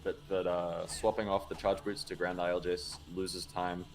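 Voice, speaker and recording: Very deep-voiced man rambling casually. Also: little pitch movement, moderate pace, rough recording. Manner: rambling, filler-heavy with many likes, laughs often. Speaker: guest, speedrun video creator. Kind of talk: casual chat explaining his gameplay.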